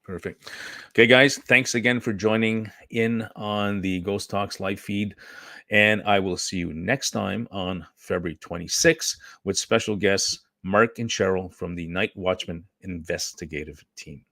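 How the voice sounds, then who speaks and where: Hoarse man hosting a live stream. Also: lowish voice, unhurried, pushed and bright, no echo, clean studio sound. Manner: rambling, clears his throat often. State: hoarse, losing his voice. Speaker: host. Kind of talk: casual live-stream chat.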